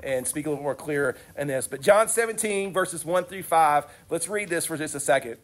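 A young man gives a youth sermon in a slightly high voice, a little congested from a cold. He talks earnestly and casually, repeating words as he finds his way, and moves into heartfelt prayer. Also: moderate pace, a little hiss or hum. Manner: earnest, conversational, with frequent restarts. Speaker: youth speaker.

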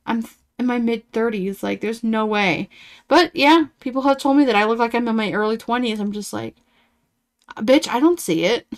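Woman, thirties, reaction commentator: blunt, sarcastic, swears freely, slightly high voice. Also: moderate pace. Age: 30s.

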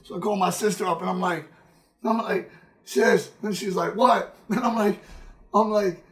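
High-pitched man telling stories on stream. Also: moderate pace. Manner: animated, emphatic, repeats phrases for effect. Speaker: game streamer. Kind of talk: casual, profane storytelling on a livestream. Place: indoor room at a streaming setup.

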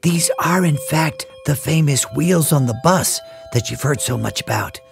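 A woman narrating a children's cartoon in a playful, animated way, with chatty asides to her young listeners. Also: sits low, normal speed, creaky. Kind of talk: playful scripted narration for toddlers. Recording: music behind the voice.

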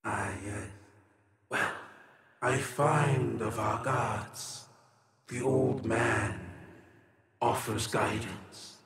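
A man voicing an aged, troubled woman mystic in a fantasy game, halting and wistful, with an echoing reverb. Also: fairly low pitch, very noisy, pitched below the performer's own voice. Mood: solemn, wistful. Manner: slow, halting, brooding. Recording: roomy voice with long reverb.

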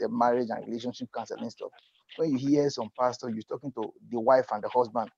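A man's voice: mid-range voice, dark tone, slightly rough, dry recording. A middle-aged man speaking unscripted in an earnest panel discussion, repeating and rephrasing himself and checking that he is understood. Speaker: panelist at a church youth congress. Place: indoor room, video call. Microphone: wired earphone mic. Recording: dull lo-fi video call.

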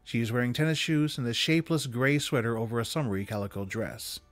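A man reading classic prose aloud expressively, with measured, dramatic emphasis, close to a desk microphone. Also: medium pitch, dry recording. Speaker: reader of holiday stories aloud. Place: small indoor room with bookshelves. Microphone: desk condenser microphone, close. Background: music.

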